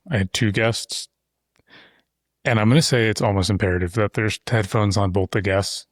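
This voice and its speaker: A man's voice: on the low side, no room sound. A man hosting a podcast in a casual conversation, talking at length with loose asides on a close studio mic. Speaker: podcast host. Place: insulated shop-building studio.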